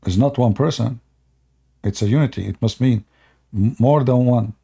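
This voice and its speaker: A man with an Arabic accent explains at length on a live stream, circling back and repeating his points. His voice is husky with a gravelly edge and a dark, mellow tone. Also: mid-range voice, normal speed. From Middle East. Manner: unscripted explaining, with fillers and repetition.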